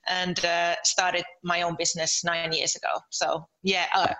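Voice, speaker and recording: A woman's voice: lowish voice, flat delivery, unhurried, sharp tone, rough recording. A middle-aged woman from Finland, talking conversationally as an interview guest. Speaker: podcast guest, entrepreneur.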